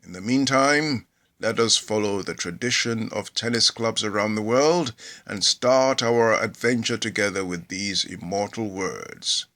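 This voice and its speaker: Male narrator from Nigeria, middle-aged, for a wry memoir; low-pitched, unhurried, theatrical delivery. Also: pushed and bright. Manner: measured, theatrical storytelling delivery. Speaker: actor reading a book aloud. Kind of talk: scripted narration of a humorous memoir.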